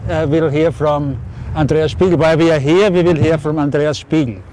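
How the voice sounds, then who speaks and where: Man, event moderator, halting speech, warm soft tone, slightly high pitch. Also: fairly even intonation, a little hiss or hum, rough recording. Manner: halting, many fillers. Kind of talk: public talk on a city tour. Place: outdoor city square.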